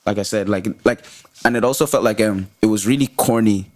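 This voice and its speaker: A man's voice: fairly low pitch, a little fast, dry recording, studio quality. A young man from Ireland with a light, American-tinged Irish accent, chatting casually as a rapper guest, slangy and repeating words for emphasis. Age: young adult, about 20. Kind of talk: casual chat.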